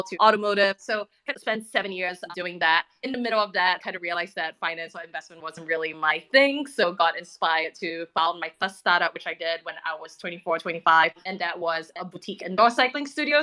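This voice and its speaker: A woman's voice: fairly low pitch, pushed and bright. A woman in her thirties with a Singaporean accent, a podcast guest talking casually and eagerly, with lots of "you know" and "like". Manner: fast, filler-heavy, enthusiastic. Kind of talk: casual interview talk.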